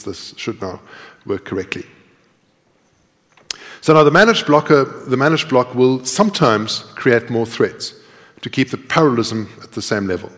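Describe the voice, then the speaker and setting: Man lecturing in a reverberant hall. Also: mid-range voice. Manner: explanatory, thinking aloud while typing. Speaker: conference speaker. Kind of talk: live coding technical lecture. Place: large tiered lecture hall.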